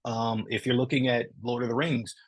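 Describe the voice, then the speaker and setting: A man's voice: medium pitch, flat delivery, normal speed. A man from Southern California, a comic creator speaking earnestly in a casual podcast interview, often checking in with "right?".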